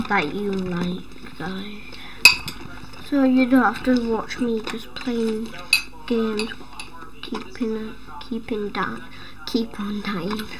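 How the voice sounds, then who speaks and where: A woman's voice: mid-range voice, background noise. A woman hosting her own gaming videos and losing her voice, rambling through casual gameplay commentary with sudden startled exclamations. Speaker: gaming channel host.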